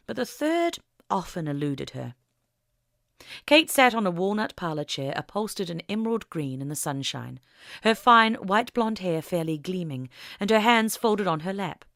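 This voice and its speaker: A woman narrating a scripted audiobook story in a measured, expressive storytelling manner. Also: lowish voice, vocal fry, no room sound, studio quality.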